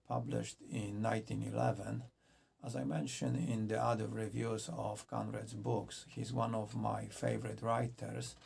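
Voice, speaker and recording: Gravelly man reviewing books. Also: fairly low pitch, darkish tone, a little hiss or hum. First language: Polish. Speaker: book reviewer. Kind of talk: scripted book review.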